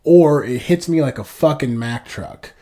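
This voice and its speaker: A man in his thirties, congested and low on energy, co-hosting a podcast in casual, rambling chat full of swearing. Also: expressive, measured pace, mellow. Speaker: podcast co-host.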